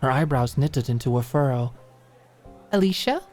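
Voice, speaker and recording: A woman reads dramatic fiction at a slow, deliberate pace, soft and emotive in an intimate ASMR style. Music plays clearly behind her. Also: very deep voice, steady tone. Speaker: audiobook narrator. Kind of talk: scripted dramatic narration, intimate ASMR audiobook.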